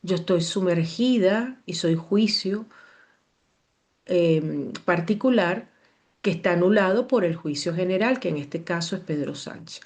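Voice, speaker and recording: A woman's voice: on the low side, unhurried. Venezuelan woman explaining astrology. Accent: Venezuelan Spanish. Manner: animated, repetitive, digressive. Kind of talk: casual explanatory talk.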